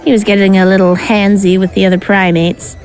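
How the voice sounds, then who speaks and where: An actress playing a gruff but friendly female insectoid bartender with blunt, teasing banter. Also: mid-range voice, level tone, a little fast, darkish tone, soft background music, natural voice, low-quality recording.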